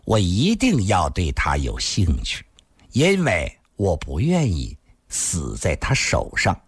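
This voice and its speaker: A man as a cold, proud martial arts master, husky. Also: low voice, huge pitch swings, slow pace, no echo, studio quality, heavily acted. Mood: cold confidence.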